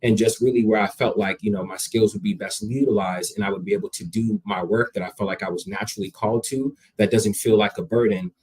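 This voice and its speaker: Young guy, jamaican heritage, husky voice, chatty and energetic guest, lots of repeated starts. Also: lowish voice, fast, hardly any pauses, low-quality recording. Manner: energetic, conversational, repeats words when starting sentences. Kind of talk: casual interview talk.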